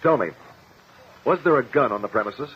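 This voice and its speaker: An actor playing a firm, formal lawyer, probing and commanding, in a 1950s radio courtroom drama. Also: male voice, medium pitch, moderate pace, slight background noise, lightly acted. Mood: pressing. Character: firm, probing lawyer cross-examining a witness. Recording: muffled 1950 radio broadcast.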